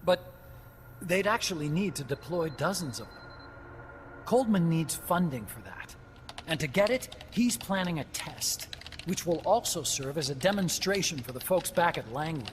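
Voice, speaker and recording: A man's voice: a little high, animated, normal speed, a little hiss or hum, lightly acted. An actor voicing an earnest, talkative young male engineer scientist who explains things at length in a game cutscene. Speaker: game character. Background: game score music.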